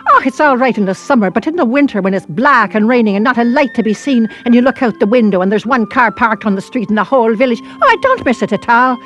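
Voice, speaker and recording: A woman storyteller voicing a gushing young Irish check-in clerk, with a soft Irish accent and an emphatic, rhapsodic way of talking. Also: fairly high pitch, lively intonation, fast, few pauses, faint music behind, pitched above the performer's own voice. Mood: emphatic, dismissive.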